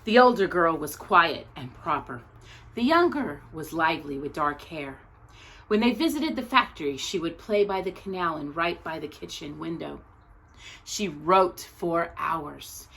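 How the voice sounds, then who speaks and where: A woman reading a children's picture book aloud as a storyteller, with expressive narration and deliberate, dramatic pauses between short phrases. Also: low-pitched, creaky, husky, rough recording.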